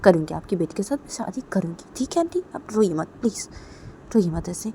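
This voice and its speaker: A woman voicing a reassuring young woman who gently coaxes and comforts, repeating herself. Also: medium pitch, expressive, brisk, a little hiss or hum, natural voice. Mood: soothing. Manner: gentle, repetitive coaxing.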